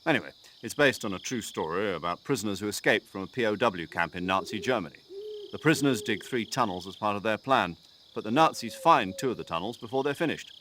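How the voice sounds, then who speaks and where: A chatty military commander. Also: male voice, lowish voice, expressive, fast talker, bright, forceful tone, a little hiss or hum. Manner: talkative, earnest, briefing tone. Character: brisk male military commander on radio. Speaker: mission commander on radio. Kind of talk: video game cutscene acting.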